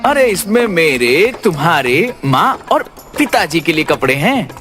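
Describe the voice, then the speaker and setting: A man's voice: fairly high pitch, very animated, measured pace, fairly bright tone, faint music behind. A man playing a cunning, boastful young farmer in a Hindi moral story, talking in a glib, persuasive way. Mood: brisk, defensive.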